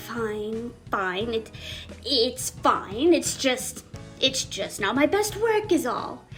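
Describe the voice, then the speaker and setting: A woman's voice: on the high side, lively intonation, drawn-out words, a bit bright, soft background music, full character voice. A woman voicing a playful, flustered, defensive prankster in scripted character acting, huffy and stammering.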